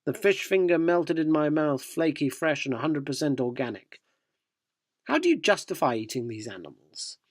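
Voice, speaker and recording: A man's voice: on the high side, sing-song, normal speed, dry recording, studio quality. A man playing an earnest, inquisitive magazine reporter in a radio drama, narrating and questioning with a dryly descriptive manner.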